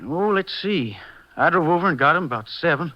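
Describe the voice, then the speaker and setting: A man's voice: fairly high pitch, lively intonation, a little fast, natural voice. A man playing an obliging, chatty, folksy farm neighbour in a 1950s radio drama. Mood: friendly. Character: obliging, busy farm neighbour giving an alibi. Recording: muffled 1950s radio recording.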